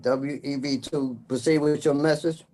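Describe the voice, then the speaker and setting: A man practicing formal emergency radio procedure, announcing scripted messages in a deliberate way as a trainee net controller. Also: on the high side, steady tone, a little mellow. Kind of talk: scripted radio procedure drill with call signs.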